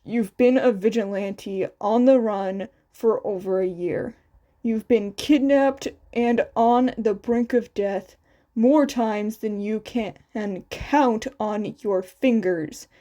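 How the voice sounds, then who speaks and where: A woman voicing a dry but caring teenage boy in a flat, deadpan delivery for a story reading. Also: medium pitch, takes it slowly, lo-fi sound, lightly acted. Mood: frank, serious.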